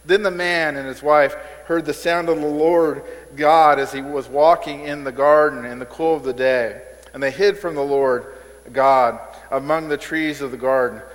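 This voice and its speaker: Man preaching in a reverberant hall. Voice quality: says he has a squeaky voice. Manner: conversational preaching, repeats words, rises in emphasis. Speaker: pastor. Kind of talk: sermon.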